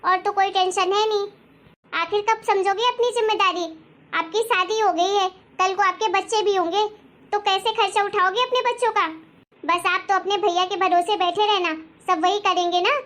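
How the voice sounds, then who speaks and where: A woman's voice: extremely high pitch, pushed and bright. A woman voicing an angry, scolding young wife who argues sarcastically in a family drama story. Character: angry, sharp-tongued young wife demanding her share. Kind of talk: acted dialogue in Hindi family story.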